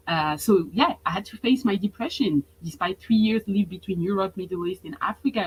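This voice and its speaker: A woman of thirty leads a motivational webinar as a business coach, upbeat and energetic. She leans on repetition to drive her points home, and her pitch sits in an ordinary middle range. A soft hum of noise lies faintly under her voice. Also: rough recording. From France. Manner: energetic, repeats phrases for emphasis.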